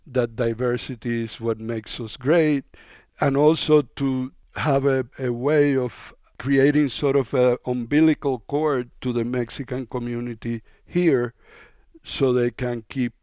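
Radio guest, man from Cuba, smooth and resonant, relaxed talk, dry close mic. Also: medium pitch, unhurried, no room sound. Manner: relaxed, conversational, with fillers. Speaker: documentary filmmaker guest. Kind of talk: radio interview.

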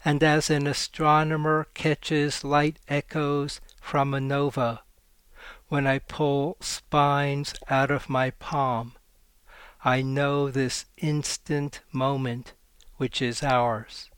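Man reading his poems slow and flat, smooth voice, dry studio sound. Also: mid-range voice, no echo. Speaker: poet guest. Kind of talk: poetry reading.

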